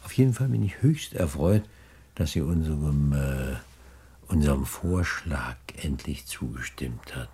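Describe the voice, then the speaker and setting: An actor playing a fussy, courteous gentleman crook in a 1960s German radio play, with flowery, hesitant politeness. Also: very deep voice, expressive, unhurried, husky, full character voice. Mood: relieved, pleased. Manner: flowery, over-polite, hesitant, repeats himself.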